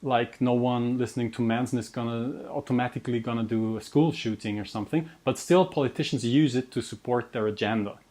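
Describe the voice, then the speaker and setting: A man's voice: mid-range voice, fairly even intonation, a little fast, few pauses, darkish tone. A young adult man with an Austrian German accent, speaking English as a second language as he introduces his interview series in an earnest, self-deprecating way, often restarting words. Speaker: host and researcher. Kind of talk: scripted personal introduction to camera.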